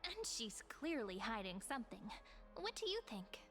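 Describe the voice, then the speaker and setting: An actress playing an observant, polite and gently playful young adventurer in a video game, speaking in a musing, conversational way. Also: female voice, a little high, big pitch swings, moderate pace, faint music behind. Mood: thoughtful.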